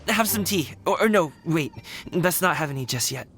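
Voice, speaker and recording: A man's voice: a little high, lively intonation, moderate pace, a bit bright, faint music behind. A man playing a nervous, good-hearted young son in a game, with an awkward, hesitant, stammering delivery. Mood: flustered. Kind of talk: animated game voice acting.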